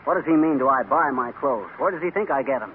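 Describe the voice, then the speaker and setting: A man's voice: medium pitch, expressive, fast talker, close to an everyday voice. A man playing a brash, nosy husband with folksy, blunt wisecracks in a 1930s radio comedy. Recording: tinny, noisy 1930s radio recording.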